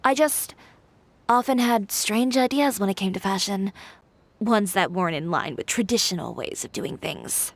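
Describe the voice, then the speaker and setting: A woman's voice: mid-range voice, expressive, normal speed, dry recording. A woman voicing a confident, stubborn young fashion designer who talks in a cool, wry, self-assured way. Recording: game audio over soft music.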